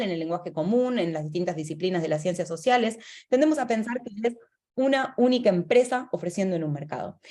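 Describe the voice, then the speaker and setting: An Argentine woman lecturing in a webinar over a video call, her voice set at a middling pitch. She explains point by point, pausing on fillers and correcting herself as she goes. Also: darkish tone. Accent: Argentine Spanish. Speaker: webinar speaker.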